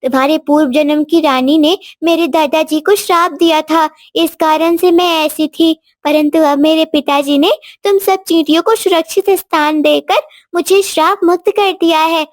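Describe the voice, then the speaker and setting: A woman as a high-voiced, tender-hearted little princess. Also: steady tone, normal speed, hardly any pauses, dry recording, studio quality, close to an everyday voice. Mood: grateful. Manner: earnest, childlike, formal wording. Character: sweet, tender-hearted young princess girl. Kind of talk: cartoon moral-story acting.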